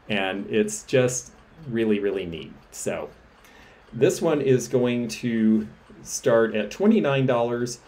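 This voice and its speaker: Man, middle-aged, slightly low voice, chatty, enthusiastic, explaining antiques, indoor camera recording. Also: a little mellow. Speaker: antiques dealer. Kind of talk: show-and-tell selling vlog. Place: indoors against a textured wall.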